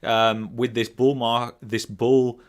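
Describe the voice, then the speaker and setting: A man hosting a live finance question-and-answer stream, hoarse from a sore throat, rambling, losing his thread and chuckling as he talks. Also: medium pitch, level tone, takes it slowly. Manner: rambling, loses his train of thought, chuckles often. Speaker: finance channel host.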